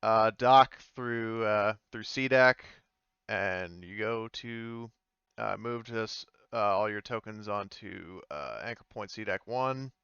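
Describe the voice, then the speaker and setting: Low, smooth-voiced man running a game. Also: takes it slowly, fairly bright tone, dry recording, dull audio. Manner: hesitant, thinking aloud. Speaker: game master. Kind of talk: casual tabletop game narration.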